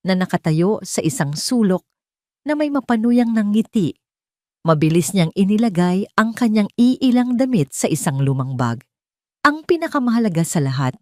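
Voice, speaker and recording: A woman's voice: on the low side, a little mellow, dry recording, clean studio sound. A woman reading a scripted dramatic story in an emotive storytelling manner. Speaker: story narrator.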